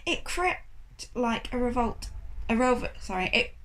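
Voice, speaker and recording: Higher-pitched woman reading a children's novel. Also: lively intonation, measured pace, a bit bright. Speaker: storybook reader. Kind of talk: expressive read-aloud narration of a children's novel. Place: small indoor room, reclining on cushions.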